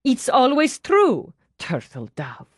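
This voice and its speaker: A woman voicing a big, gentle, adoring man who speaks sweetly and earnestly, in an audiobook. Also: high-pitched, huge pitch swings, slow pace, husky, no room sound, studio quality, pitched above the performer's own voice, heavily acted.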